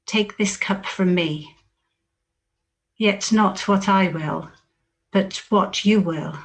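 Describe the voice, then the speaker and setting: A woman reading scripture solemnly and in a measured way, lifting the quoted speech with expression. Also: medium pitch. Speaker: scripture reader.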